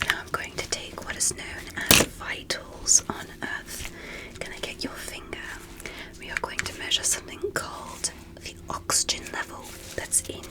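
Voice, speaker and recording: A woman voicing a curious, polite alien doctor in a gentle, careful, clinical manner for a whispered ASMR medical roleplay. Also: lightly acted, poor audio.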